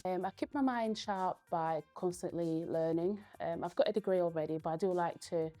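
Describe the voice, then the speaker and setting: A young woman, a Spanish speaker from Spain, giving earnest, thoughtful interview answers and pausing often to gather her words. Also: fairly low pitch, dark tone, soft background music. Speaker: guest, powerlifter.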